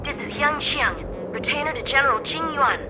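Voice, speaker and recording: An earnest boy knight of about nine from an anime game. His voice is fairly high, formal and dutiful, set over clearly audible music. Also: lively intonation, moderate pace, poor audio. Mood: formal, urgent. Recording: music behind the voice.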